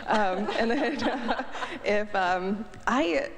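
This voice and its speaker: A woman's voice: medium pitch, expressive, drawn-out words, a crowd in the background. A bubbly woman author on a live panel who giggles often while answering. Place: event hall. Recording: reverberant hall.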